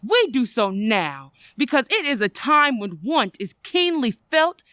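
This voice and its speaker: An earnest charity collector in a radio drama, acted by a woman, with a fairly high female voice. She speaks slowly and courteously, with formal phrasing and a dry sound. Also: very animated, fairly bright tone, dry recording, full character voice. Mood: earnest, polite. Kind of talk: old-time radio drama acting.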